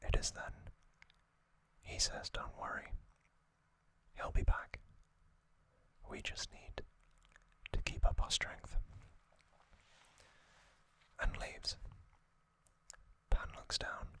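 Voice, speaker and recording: A man whispering an ASMR narration, telling a story gently in short, hushed phrases as the host. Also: very slow, pauses often.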